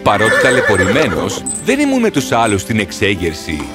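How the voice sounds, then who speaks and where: A man's voice: lowish voice, wildly swooping pitch. Earnest, sorrowful horse storyteller played by a man. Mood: sorrowful, reflective. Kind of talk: storybook cartoon narration. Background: music.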